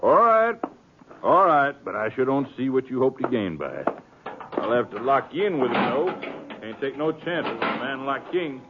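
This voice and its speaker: An actor playing a skeptical small-town sheriff with folksy authority in a 1950s radio western. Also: male voice, mid-range voice, very animated, moderate pace, lo-fi sound, noisy background, natural voice. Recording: thin, band-limited old radio recording.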